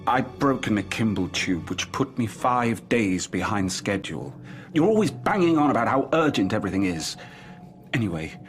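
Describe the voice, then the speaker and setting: A man's voice: lowish voice, lightly acted. A man playing an irritable research scientist under questioning in a radio drama, defensive and exasperated.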